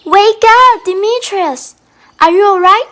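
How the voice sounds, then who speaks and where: A woman playing an excitable, emphatic young Athenian woman in an amateur radio drama. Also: very high voice, slow pace, heavily acted. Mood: anxious.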